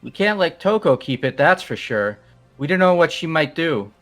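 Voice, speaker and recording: Casting: man playing a slow-witted, easygoing young guy in a game, highish voice, casual and incredulous, light music underneath. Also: very animated, a bit bright. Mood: exasperated. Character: dim, easygoing young man, held back in school, exasperated. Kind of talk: voiced visual novel game playthrough.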